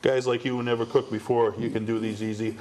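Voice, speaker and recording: A man hosting a casual cooking show, loud and jokey, with an upbeat way of talking. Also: medium pitch, little pitch movement, fast, background noise.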